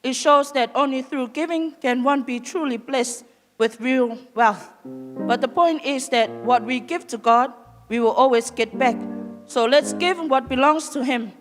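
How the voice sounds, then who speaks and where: Measured woman minister with a higher voice. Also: soft background music. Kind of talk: offering exhortation with scripture reading. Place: church hall. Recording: long reverb of a church hall.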